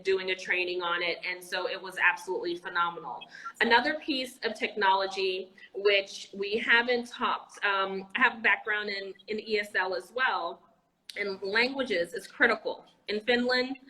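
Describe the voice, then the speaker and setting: A woman with a bright, clear voice gives an enthusiastic interview as an educator. She talks casually over a video call, often pausing on "um" and restarting her sentences. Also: mid-range voice, rough recording. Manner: enthusiastic, frequent "um" fillers and restarts. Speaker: guest, educator and entrepreneur. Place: Anguilla.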